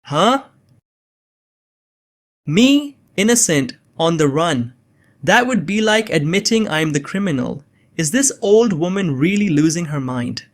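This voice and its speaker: A man's voice: fairly high pitch, lively intonation, unhurried, pauses often. A young man playing a bewildered innocent framed as an assassin, narrating his own story in a scripted drama. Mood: startled, incredulous. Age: young adult. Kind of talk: first-person scripted narration with dialogue.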